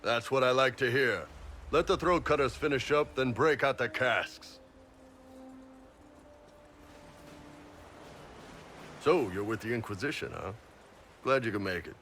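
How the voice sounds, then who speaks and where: An actor playing a big horned Qunari mercenary boss in a video game, blunt, informal and jovial. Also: male voice, medium pitch, normal speed, bright, forceful tone, slight background noise, natural voice. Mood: hearty, bossy. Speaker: mercenary captain and self-declared spy. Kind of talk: video game character dialogue. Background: game music.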